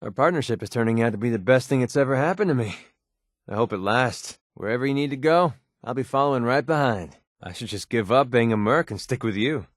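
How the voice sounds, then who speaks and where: A man's voice: mid-range voice, expressive, brisk, no echo, studio quality, close to an everyday voice. A man voicing a young, wisecracking mercenary companion in a video game, with an American accent and an informal, sardonic delivery. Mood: upbeat, friendly.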